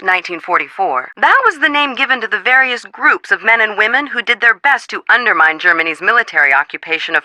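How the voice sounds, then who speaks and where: A woman's voice: medium pitch, sharp tone, no room sound, poor audio. A woman playing an articulate, earnest historian in a video game, explaining things formally and precisely.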